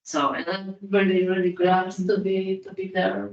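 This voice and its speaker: A woman researcher introducing herself informally at an academic event, speaking haltingly with frequent pauses. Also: mid-range voice, little pitch movement. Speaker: academic researcher and project coordinator. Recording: dull, echoey room, distant microphone.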